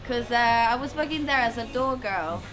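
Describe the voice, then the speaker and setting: A woman's voice: a little high, animated, normal speed. A woman from Italy, a band singer and lyricist, talking casually in an interview. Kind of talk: casual chat.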